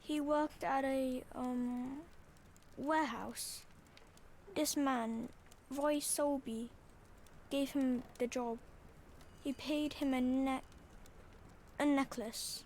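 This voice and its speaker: A woman's voice: high-pitched, fairly even intonation, a little mellow, a little hiss or hum. A woman playing an earnest, worried child who speaks hesitant, in a video game. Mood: halting, earnest. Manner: hesitant, halting. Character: worried young child, earnest, minding a shrine alone. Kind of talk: scripted game dialogue.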